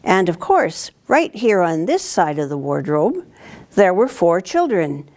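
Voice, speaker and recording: An older woman reads a picture book aloud as a guest storyteller, in an expressive, deliberate way. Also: lowish voice. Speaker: town councillor reading to students. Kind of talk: read-aloud storybook narration. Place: indoors, library corner with bookshelves.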